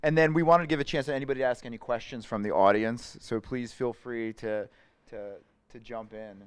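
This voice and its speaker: A man's voice: medium pitch, fairly even intonation, fairly quick, smooth. A man hosting a museum talk who encourages the speaker and opens the floor to audience questions in an upbeat manner. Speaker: host and moderator.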